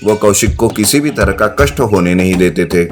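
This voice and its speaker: A man with a fairly low voice narrates a scripted village tale as storyteller. Music plays clearly behind his voice. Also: animated, moderate pace.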